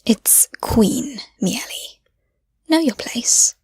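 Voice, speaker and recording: A cold, spiteful queen in an audio drama. Her voice is fairly high and breathy, almost whispered. She speaks with sneering condescension, recorded close and dry. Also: animated, drawn-out words, mellow, no room sound, full character voice. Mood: scornful. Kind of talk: audio drama villain acting.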